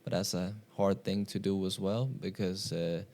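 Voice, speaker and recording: A man's voice: low voice, fairly even intonation, normal speed, vocal fry, a little mellow. A man, talking things through thoughtfully in a casual chat, with lots of "um" and "like". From Sweden. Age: 20s. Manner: thoughtful, rambling, with many fillers. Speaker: guest. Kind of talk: casual discussion.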